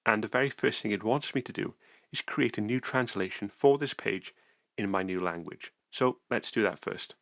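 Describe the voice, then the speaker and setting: A man calmly narrating a software tutorial screencast, explaining each step methodically. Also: lowish voice, moderate pace, gravelly, dry recording, clean studio sound.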